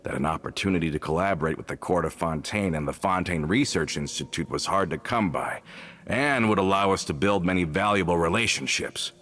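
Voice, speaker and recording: A man's voice: sits low, a little fast, fairly bright tone. An actor playing an earnest, loyal older henchman in a video game. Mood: explaining.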